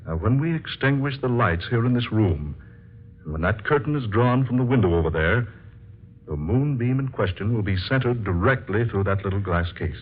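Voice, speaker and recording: An actor playing a determined scientist in an old-time radio drama, speaking in a deliberate, formal, grave way. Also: male voice, fairly low pitch, expressive. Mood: precise, assured. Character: determined male scientist shrinking himself with his potion. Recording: dull lo-fi old radio recording, music.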